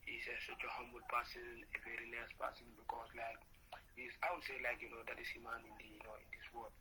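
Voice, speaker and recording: Guy from senegal calling in, flat voice, quick talk, lots of "you know", loud hiss on the line. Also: mid-range voice. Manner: leans on "you know" constantly, soft and halting. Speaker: caller. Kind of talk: casual chat on an audio call. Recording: noisy phone-line audio.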